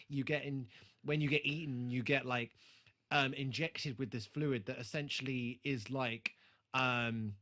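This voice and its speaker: A man chats as a podcast co-host in a bright, forward voice at an ordinary pitch. He rambles enthusiastically through his points and swears freely. The delivery is loose and conversational. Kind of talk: casual chat, reviewing a TV show.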